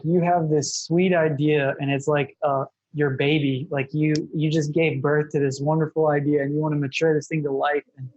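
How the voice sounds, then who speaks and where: A man who is a guest, sharing lessons from building a product business. He speaks in a thoughtful, measured way and hedges with fillers. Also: fairly high pitch, a little mellow, breathy edge, no echo, dull audio. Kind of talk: casual interview talk.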